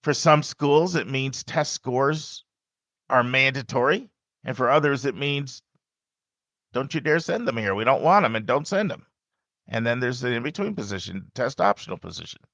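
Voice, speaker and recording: Loud, emphatic male podcast host. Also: medium pitch, expressive, moderate pace, vocal fry, dry recording. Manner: loud, emphatic and conversational, with asides to the listener. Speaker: podcast host and college admissions advisor. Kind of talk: casual podcast monologue.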